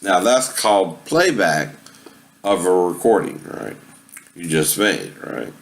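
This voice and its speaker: A man's voice: low-pitched, big pitch swings, takes it slowly, vocal fry, slight background noise. A man giving a casual, explanatory demonstration, often checking in with "right?" at the ends of phrases. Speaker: amateur video demonstrator showing a tape deck. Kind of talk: casual instructional talk with sung impersonations.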